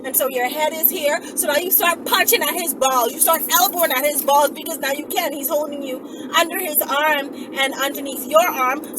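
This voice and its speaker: A woman telling a story casually to her viewers in an animated, emphatic, sweary manner. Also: high-pitched. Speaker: channel host. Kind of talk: casual self-defense storytelling, acted-out scenario. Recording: distant mic with clear background noise.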